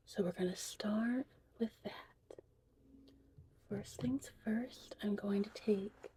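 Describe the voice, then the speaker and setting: A woman speaking mostly in a soothing, slow whisper, as a gentle mystical witch in an ASMR roleplay. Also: mid-range voice. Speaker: ASMR creator playing a witch who teaches potion making. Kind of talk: whispered ASMR roleplay, guided breathing and narration. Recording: clearly noisy, vintage VHS-tape styled audio.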